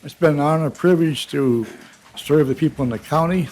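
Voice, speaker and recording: An older man, slightly hoarse, giving plain, earnest farewell remarks at a county board meeting. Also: on the high side, fairly quick, very noisy. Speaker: retiring county legislator.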